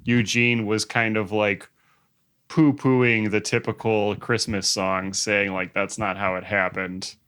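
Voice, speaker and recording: A man's voice: lowish voice, little pitch movement, slow, a bit bright, clean tone. A man co-hosting a podcast in casual, conversational discussion. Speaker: podcast co-host. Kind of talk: casual chat.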